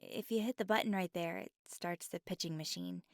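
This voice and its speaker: A woman's voice: on the low side, fairly quick, gravelly, no echo, clean studio sound. Woman playing a stammering, nervous young water wielder. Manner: stammering, apologetic, flustered; drops into soft whispered asides. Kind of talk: ASMR roleplay.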